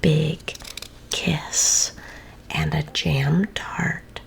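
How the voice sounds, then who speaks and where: A woman reading a children's story aloud in a soft, gentle whisper. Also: low-pitched, fairly even intonation, very slow, gravelly.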